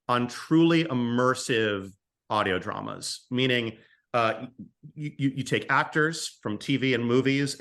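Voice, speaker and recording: A man speaking as a podcast guest, telling a story casually and haltingly, thinking aloud in short phrases. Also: mid-range voice, fairly bright tone. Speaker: podcast guest, screenwriter and co-creator of an audio drama.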